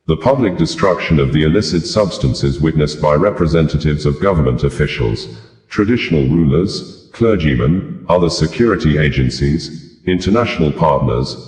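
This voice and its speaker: Deep low guy reading news reports, steady and formal, roomy echo. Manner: measured, formal delivery. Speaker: news reader.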